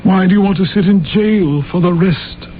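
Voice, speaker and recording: On a thin, phone-like old radio recording with faint noise, an actor plays a skeptical male investigator. His fairly high voice is measured and probing, in period radio drama style. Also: close to an everyday voice. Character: skeptical, probing investigator questioning a confessed suspect.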